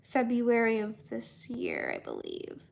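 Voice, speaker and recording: Young female, higher register, very unhurried delivery; off-the-cuff casual discussion, halting and meandering. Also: wildly swooping pitch, creaky, slight background noise. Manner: halting, rambling, with trailing thoughts and repeated words. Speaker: voice actor and comic dub creator chatting with viewers.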